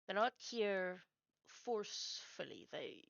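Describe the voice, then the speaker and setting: A woman whose first language is Afrikaans chats casually as she works on a livestream, haltingly searching for words. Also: medium pitch, lively intonation, creaky, dry recording, muffled recording. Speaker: streamer building game maps live. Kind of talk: casual chat while working.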